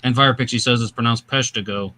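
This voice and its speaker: A man hosting a casual video-call chat, talking in lively, rambling asides. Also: mid-range voice, brisk. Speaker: host.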